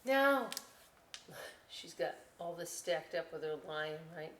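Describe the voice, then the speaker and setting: A woman reading a children's story aloud in an informal, expressive way with chatty asides. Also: low voice, slow, slight background noise. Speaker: library storytime reader. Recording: roomy indoor echo.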